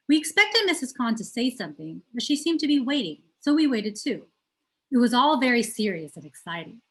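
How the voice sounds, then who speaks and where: A woman's voice: mid-range voice, fairly bright tone, poor audio. A woman reads a children's novel aloud as a storyteller, putting emphasis on key words. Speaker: teacher reading aloud.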